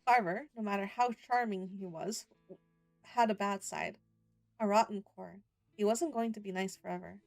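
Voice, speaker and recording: A woman's voice: mid-range voice, moderate pace, gravelly, no echo, faint music behind, close to an everyday voice. A woman voicing an earnest, glum youngest brother who narrates a rural visual novel, plain-spoken and wry. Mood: wry, wary. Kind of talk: first-person visual novel narration and dialogue.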